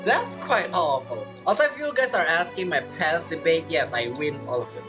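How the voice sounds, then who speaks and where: A woman with a slightly low, very lively voice chats casually through a game playthrough. She reacts aloud as she goes, with music playing clearly behind her. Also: normal speed. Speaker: gamer commenting on gameplay.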